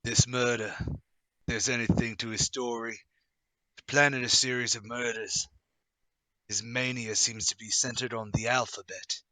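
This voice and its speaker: A man's voice: medium pitch, fairly even intonation, normal speed, pauses often, bright, forceful tone, no echo, voice pushed down, low-quality recording. A man voicing a grim, terse Scotland Yard policeman in a radio drama. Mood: grave, hushed. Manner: terse, ominous. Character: grim, low-voiced male police officer from Scotland Yard.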